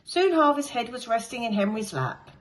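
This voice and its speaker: A woman's voice: a little high, big pitch swings, moderate pace, poor audio. A woman teacher reading a picture book aloud to young children, telling the story expressively and dramatically. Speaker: infant school teacher.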